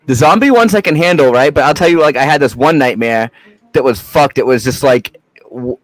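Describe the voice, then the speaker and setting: A man's voice: mid-range voice, fairly quick, dry recording, low-quality recording. A young adult man from Massachusetts, a podcast guest chatting casually in a loose, rambling way with frequent swearing and fillers. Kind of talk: casual chat.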